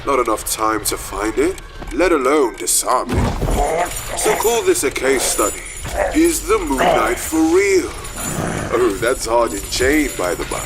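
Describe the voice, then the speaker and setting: A man playing a taunting, sadistic villain in a comic dub, theatrical, mocking and deliberate. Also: mid-range voice, animated. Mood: smug menace. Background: background music.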